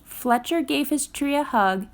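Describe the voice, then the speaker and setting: A woman reading a children's storybook aloud in a careful, gentle, expressive storytelling style. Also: high-pitched, a little slow. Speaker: storytime reader.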